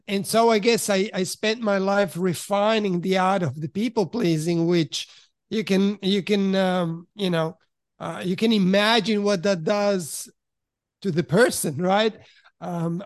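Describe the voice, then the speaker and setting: A middle-aged man from Italy, raised in Switzerland, frankly telling his life story as a podcast guest and often pausing to search for words. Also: high voice, clear, resonant tone, no echo. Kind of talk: candid personal storytelling in conversation.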